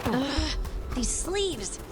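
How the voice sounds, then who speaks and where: A woman playing a gentle, uneasy elf archer in a fantasy game, earnest and sensitive. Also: high voice, sing-song, very slow, loud music behind the voice, exaggerated voice.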